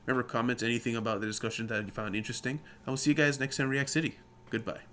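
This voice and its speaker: Relaxed man hosting a podcast. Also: medium pitch, racing, a little hiss or hum. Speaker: podcast host. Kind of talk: casual podcast chat and sign-off.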